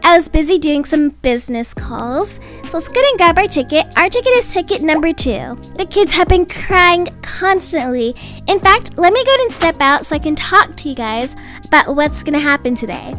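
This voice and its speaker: A young woman tells a roleplay story as a brisk, slightly exasperated mom. Her voice is very high and moves up and down in a lively way, with music underneath. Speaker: storyteller voicing a roleplay video. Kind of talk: animated scripted roleplay narration in everyday voice.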